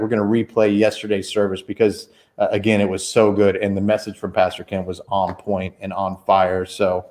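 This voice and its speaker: A man's voice: fairly low pitch, a little mellow. A man in his forties hosting a casual church livestream interview, chatty and rambling with many fillers and restarts.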